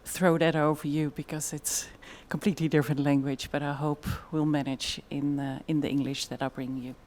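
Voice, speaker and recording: A woman's voice: deep voice, flat delivery, a little fast, a little mellow. A woman with a Dutch accent giving a conference presentation in a thoughtful way, with frequent fillers. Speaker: conference speaker.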